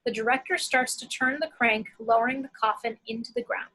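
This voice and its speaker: A woman with a husky edge reading a screenplay's action descriptions as narration. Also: on the high side, fairly quick, a bit bright, low-quality recording.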